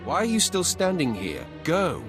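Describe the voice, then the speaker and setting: An actor playing a curt, imperious Sith warrior lord in a video game, gravelly and authoritative. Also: male voice, fairly high pitch, wildly swooping pitch, normal speed, over music. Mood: sardonic, imperious. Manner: terse, authoritative. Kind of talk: scripted video game acting.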